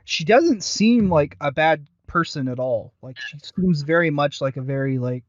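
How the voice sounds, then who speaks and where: A man co-hosting a podcast in casual chat, halting and self-deprecating, often losing his thread as he reviews. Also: a little high, dry recording, muffled recording. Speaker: podcast co-host. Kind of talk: casual chat, reviewing audio roleplay series.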